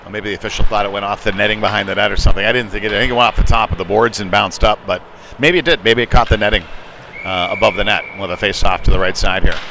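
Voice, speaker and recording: A man's voice: fairly low pitch, steady tone, rapid-fire. A man calling a youth-league style hockey game live, as a play-by-play commentator following the puck. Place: indoor ice rink during a game. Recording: noisy arena, clatter and hum behind.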